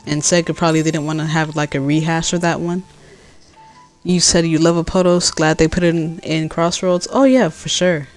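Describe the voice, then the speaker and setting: Deep-voiced woman rambling over gameplay. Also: normal speed, soft background music. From California. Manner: rambling, relaxed, full of fillers and restarts. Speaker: gaming streamer. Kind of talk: casual gameplay commentary, answering chat.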